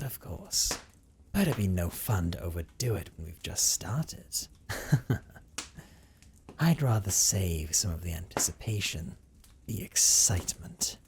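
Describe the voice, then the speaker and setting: A man voicing a centuries-old vampire captor in an intimate audio roleplay, speaking with hushed, theatrical condescension and often dropping toward a whisper. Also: deep voice, slight background noise. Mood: teasing, savoring. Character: centuries-old male vampire captor, teasing, dominant, wistful.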